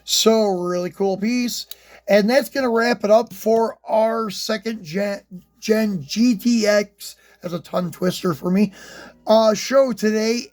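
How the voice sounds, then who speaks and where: A slightly hoarse man with a high-pitched voice talks casually. He rambles in a relaxed way, trailing off with ums and restarts as he hosts his hobby channel. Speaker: die-cast collector channel host. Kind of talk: casual unboxing and review talk.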